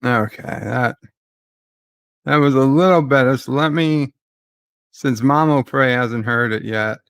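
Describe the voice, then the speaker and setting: A man hosting a live stream, chatting casually and thinking aloud in halting phrases. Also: mid-range voice, a bit bright, smooth, no echo.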